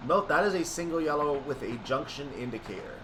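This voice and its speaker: A man's voice: a little high, animated, a little fast, noisy background. A man giving explanatory, methodical gameplay commentary, thinking aloud as he plays a train simulator. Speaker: video game streamer playing a train simulator.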